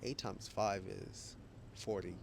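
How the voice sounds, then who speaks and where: A man's voice: fairly low pitch, steady tone, slow pace, creaky, a little hiss or hum. A man making a casual opinion vlog as a YouTuber, rambling, thinking aloud and correcting himself, with frequent chuckles. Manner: chuckles often while talking.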